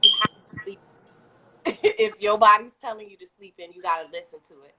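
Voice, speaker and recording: A woman with a Haitian accent, a guest entrepreneur talking casually and at length on a live video stream, animated and quick to laugh. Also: medium pitch, background noise. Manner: talkative, animated, laughs often. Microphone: video call.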